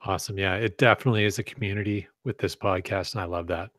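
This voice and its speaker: A man's voice: low-pitched, steady tone, fast, a bit bright, dry recording, clean studio sound. Easygoing man co-hosting a podcast. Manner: easygoing, agreeable, laughs often. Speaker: podcast co-host. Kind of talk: casual podcast chat.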